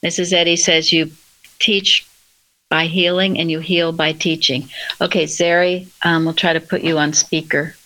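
A woman's voice: low-pitched, flat delivery, moderate pace, sharp tone, low-quality recording. A woman leading a religious group discussion, speaking in an instructive, emphatic manner. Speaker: discussion leader.

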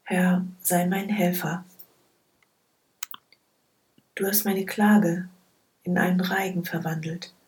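A woman reading Bible passages aloud in a soft, hushed, measured way. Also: on the low side, fairly even intonation, low-quality recording. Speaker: scripture reader. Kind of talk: slow, quiet Bible reading.

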